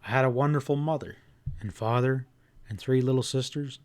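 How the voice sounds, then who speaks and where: A man's voice: mid-range voice, brisk, darkish tone. Folksy man narrating a story. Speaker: audiobook narrator. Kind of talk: expressive first-person story narration.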